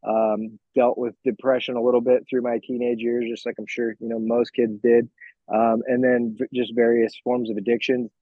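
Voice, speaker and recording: An American man in his forties telling his own story in an informal interview, reflective and candid, halting as he picks his words. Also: medium pitch, level tone, dry recording, phone-quality sound. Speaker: fitness coach, podcast guest.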